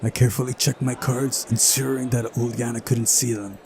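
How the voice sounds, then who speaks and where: A man's voice: mid-range voice, flat delivery, normal speed, background noise. A man doing a visual novel playthrough, switching between reading narration aloud and casual commentary.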